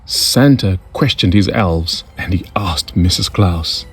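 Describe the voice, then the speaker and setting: Guy narrating a kids story as storytime host, really slow and deliberate, lowish voice, bit of music under. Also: husky. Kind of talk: children's read-aloud narration.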